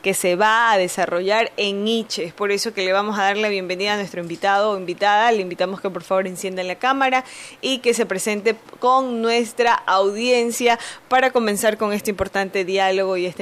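A woman's voice: medium pitch, fairly bright tone. Radio host woman, hesitant questions. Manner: hesitant, repeats words when asking. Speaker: radio news show host. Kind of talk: radio interview.

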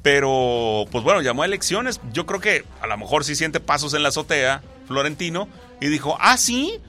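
A man talks football in an animated way, his voice bright and his pitch jumping up and down. He explains things at length and keeps restarting his sentences. Faint music plays behind him. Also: normal speed. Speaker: sports talk co-host. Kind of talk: casual football chat.

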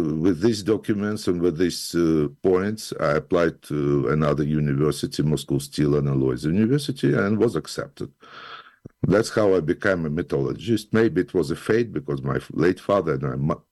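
Very deep gravelly man. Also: normal speed, darkish tone, no echo. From Ukraine. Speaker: guest, scientist and musician. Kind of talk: interview answers.

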